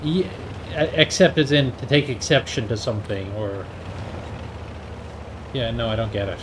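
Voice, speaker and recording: A man's voice: mid-range voice, animated, measured pace. A man giving casual live commentary as he plays a video game, in a dry, wry, half-to-himself manner. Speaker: host of a video game stream. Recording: clear background engine and game noise. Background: video game tank engine and battle sounds.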